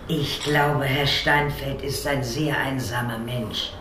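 A man playing a solitary but sociable-minded neighbour in a radio drama, giving kindly advice in deliberate, paused lists. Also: medium pitch, steady tone, close to an everyday voice. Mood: earnest. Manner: deliberate, listing, pausing. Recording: echoey room, faint noise.

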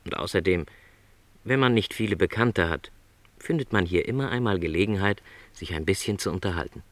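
A middle-aged travelling salesman in a radio play, with a low voice and lively intonation. He is lonely yet content, and speaks in a modest, reflective, courteous way. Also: fairly quick, no echo. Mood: gently reflective.

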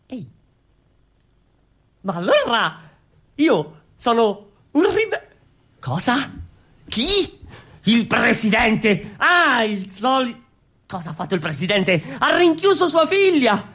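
A man playing an excitable, boastful young revolutionary who addresses the crowd with declamatory questions in a comic radio play. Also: very high voice, takes it slowly, pauses often, husky, exaggerated voice. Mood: astonished, urging. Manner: animated, declamatory, rhetorical questioning. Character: excitable young male revolutionary rebel, boastful wandering storyteller. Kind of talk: comic street-theatre monologue on radio.

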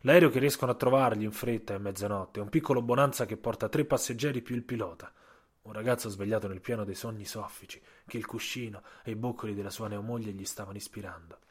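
A man narrating a scripted literary audio story, with dramatic, measured phrasing and deliberate pauses. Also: on the low side, breathy edge.

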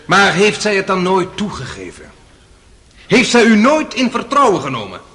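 Sardonic, swooping defence lawyer. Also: male voice, high voice, wildly swooping pitch, moderate pace, a bit bright, exaggerated voice. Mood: probing. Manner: theatrical, probing, mockingly smooth. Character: sly, sardonic male defence lawyer questioning a witness. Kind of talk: radio drama acting.

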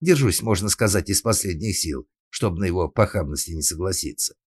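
A man's voice: sits low, lively intonation, brisk, darkish tone, husky, no echo, studio quality. A man voicing an indignant young maidservant who talks back in a defiant, colloquial, grumbling way in a comic story.

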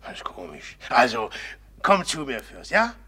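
An actor in a radio play playing a rough, impulsive, domineering rich young man who talks bluntly and commandingly. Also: mid-range voice, huge pitch swings, unhurried, sharp tone, heavily acted. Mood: impulsive, eager. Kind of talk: 1950s German radio play acting.

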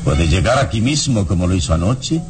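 An actor playing a calm, mysterious hero in a vintage radio serial, phrasing his words slowly and solemnly. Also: low voice, loud music behind the voice. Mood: grave, ominous. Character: mysterious heroic man of keen senses, calm and solemn.

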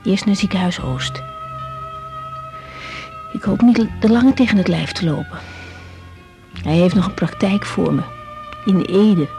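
A woman's voice: medium pitch, a little slow, long pauses, over music, close to an everyday voice. An actress playing an intense, caring young woman doctor with a Netherlands Dutch accent in a radio drama, speaking in a confiding, insistent manner. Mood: introspective, weary. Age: young adult. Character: intense young female doctor, caring but exasperated daughter. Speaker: lead actress in radio serial. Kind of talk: 1980s radio drama, intimate monologue and dialogue.

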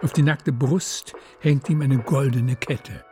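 A woman's voice: rumbling low, fairly even intonation, slow pace, warm tone, faint music behind. A woman narrating a radio play in scripted literary prose.

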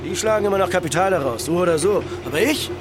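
An actor playing an agitated, aggrieved ship's crewman in a radio play, with a shrill, blustering manner. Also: expressive, fast, background noise. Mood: aggrieved, ranting.

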